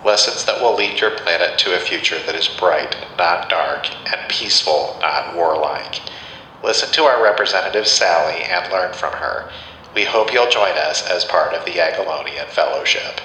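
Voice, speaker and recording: Guy playing solemn alien mothership commander, deep and bright, formal audio play speech, echoey. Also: slight background noise. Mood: solemn, grand. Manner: formal, measured proclamation.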